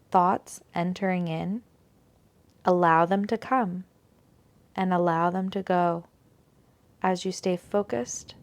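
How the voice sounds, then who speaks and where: Very slow, low-voiced woman guiding meditation. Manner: soothing, unhurried, gentle instruction with long pauses. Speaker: guided meditation teacher. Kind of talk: guided yoga nidra meditation.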